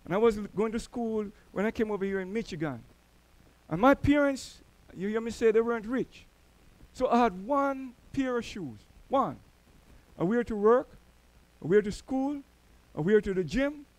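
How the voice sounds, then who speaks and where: High-voiced Jamaican preacher. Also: male voice, animated, moderate pace, no echo, poor audio. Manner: storytelling preacher, swells louder on key words. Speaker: church elder. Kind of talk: sermon. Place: church.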